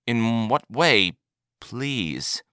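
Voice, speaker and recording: Easily tempted alien rancher played by a male narrator, nervy and keen, lively intonation, sci-fi audiobook. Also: mid-range voice, extremely slow, no room sound, studio quality, voice pushed up, heavily acted. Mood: awed curiosity. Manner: nervous, barely contained eagerness.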